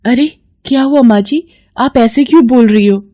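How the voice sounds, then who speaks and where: महिला की आवाज़ में ऊंचे सुर वाली दब्बू बहू. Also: female voice, a little high, moderate pace, mellow, no echo, dull audio, voice pushed up, lightly acted. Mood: obedient. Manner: submissive, polite. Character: meek, gentle, dutiful young daughter-in-law. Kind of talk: cartoon family melodrama.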